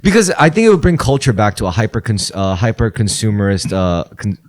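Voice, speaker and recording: A man's voice: fairly low pitch, expressive, fairly quick. A man with a New York accent, co-hosting a podcast in rambling, profane casual chat. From New York, USA. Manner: rambling, profane, laughs often. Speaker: podcast co-host.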